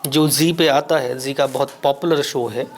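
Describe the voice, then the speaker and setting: A man from Bihar whose first language is Maithili, answering interview questions as an actor in a measured, reflective way with frequent pauses. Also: mid-range voice, fairly even intonation. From Bihar, India.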